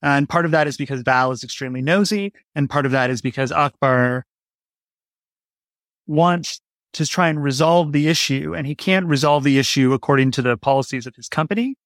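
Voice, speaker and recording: A man's voice: a little high, brisk, a bit bright, dry recording, clean studio sound. A man speaking conversationally as an interview guest, explaining his creative work in a reflective way. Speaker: audio drama writer, interview guest.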